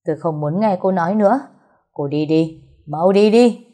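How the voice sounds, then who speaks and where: A woman's voice: lowish voice, animated, measured pace, darkish tone, husky. A woman voicing a furious, wounded middle-aged mother who scolds and accuses in an audio story. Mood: furious rejection.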